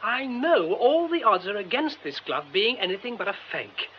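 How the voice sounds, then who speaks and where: Excitable young british theatre bloke, radio drama, effusive, really lively pitch, bit of background hiss. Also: male voice, normal speed. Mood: excited, defensive. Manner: exclamatory, effusive, breaking off mid-thought. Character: excitable, romantic young theatre man, impulsive and dreamy. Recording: dull, faintly noisy radio recording.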